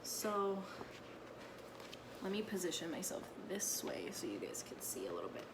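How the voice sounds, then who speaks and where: A woman teacher talking casually to her young pupils and reading a picture book aloud, often checking in with a quick okay or right. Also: lowish voice, big pitch swings, very noisy. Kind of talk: casual read-aloud with explanations to children.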